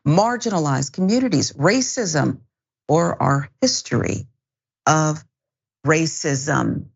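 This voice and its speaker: A woman with an American accent hosting a news discussion, speaking with emphatic, deliberate phrasing. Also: fairly low pitch, creaky, husky. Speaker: news show host. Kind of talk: news presenting and panel discussion. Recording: dull, band-limited broadcast audio.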